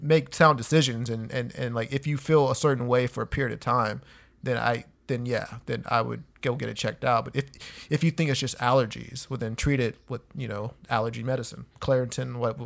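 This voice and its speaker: A relaxed man chatting on a podcast, handing out easygoing advice. His talk is loose and friendly, full of filler and slang. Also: medium pitch, fairly quick, vocal fry. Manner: laid-back, gives advice, says "man" and "dude" a lot. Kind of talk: casual podcast chat.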